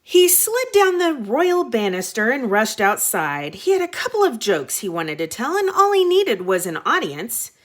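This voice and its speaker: A woman teacher reading a storybook aloud to children, expressive and dramatic, with short explanatory asides. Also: on the high side, moderate pace, few pauses.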